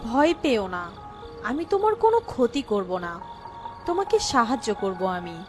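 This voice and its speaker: A woman playing a high, solemn magical fairy. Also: sing-song, moderate pace, faint music behind. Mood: gentle, reassuring. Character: kindly but stern magical fairy granting riches.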